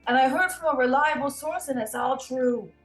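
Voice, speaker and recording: A woman's voice: high voice, moderate pace, roomy sound, faint music behind, natural voice. A woman playing a gossiping, concerned parent in an amateur script table read. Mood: gossipy. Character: gossiping concerned parent, disappointed in Dave Kaufman.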